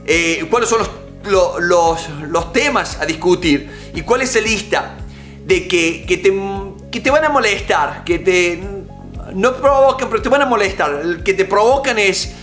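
Emphatic coach in his forties, high bright voice, soft music underneath. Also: measured pace. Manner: emphatic, animated, gestures with hands. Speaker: negotiation coach. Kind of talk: direct-to-camera coaching talk. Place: home office with bookshelves and art.

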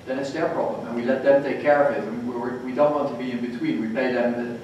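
A man speaking on a conference panel, halting with frequent restarts. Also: mid-range voice, fairly even intonation, dull audio, slight background noise. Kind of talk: panel discussion. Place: hotel conference room, panel table. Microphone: table mic, recorded from far off. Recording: echoey hall recorded from far off.

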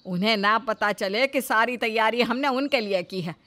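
A woman's voice: fairly high pitch, normal speed, sharp tone. A woman voicing a fretful, nagging middle-aged mother-in-law in a cartoon family story, with theatrical delivery. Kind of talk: animated family story acting.

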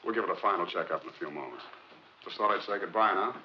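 An actor playing a stoic, calmly confident male space pilot in a 1950s science fiction film. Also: low-pitched, fast talker, close to an everyday voice. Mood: subdued, resolute. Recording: muffled, noisy old film soundtrack.

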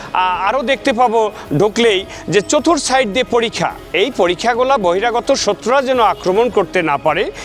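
A man with a notably high voice, working as a temple attendant, walks a visitor through the site's history. He recites dates and facts, pausing now and then with small hesitations. He is recorded outdoors, with faint music laid underneath. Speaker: archaeology department temple attendant. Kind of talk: on-site guided explanation.

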